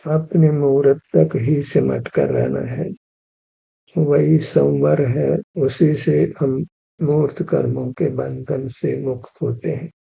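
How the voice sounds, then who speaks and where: A man guides a meditation at a very slow, unhurried pace, his voice heavy with gravelly fry. The tone is husky rather than clear. The recording sounds dull and lo-fi. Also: on the high side. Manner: slow, even, instructive, repeats key phrases. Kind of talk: guided meditation with spiritual teaching.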